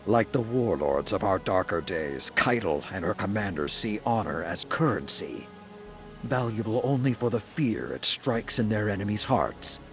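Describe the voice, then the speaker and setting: Battle-hardened warlord, deep gravelly voice, rousing and blunt, game cutscene. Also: male voice, animated, normal speed, soft background music. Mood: grim. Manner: rousing, forceful war talk. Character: gruff veteran male warlord, proud and blunt. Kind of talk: video game cutscene dialogue. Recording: game score behind.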